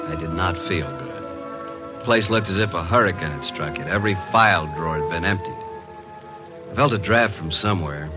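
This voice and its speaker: Man acting tough wisecracking gumshoe, lowish voice, clipped deadpan delivery, old radio drama, music behind. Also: fairly quick, close to an everyday voice. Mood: deadpan, tense. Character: wry, tough, streetwise male detective's assistant and narrator. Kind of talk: hardboiled radio drama narration and dialogue.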